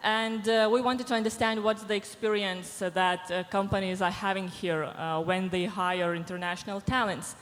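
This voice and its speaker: A woman, answering panel questions through a handheld mic, measured and pausing with many fillers. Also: mid-range voice, fairly even intonation. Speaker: panelist from a diversity organization. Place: large event hall stage. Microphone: handheld microphone. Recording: reverberant hall sound.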